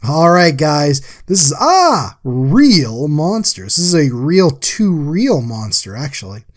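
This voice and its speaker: Chatty man, slightly higher-pitched voice. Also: very animated, slow pace. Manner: chatty, informal, addresses viewers as guys. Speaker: artist and livestream host. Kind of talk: casual drawing recap voice-over.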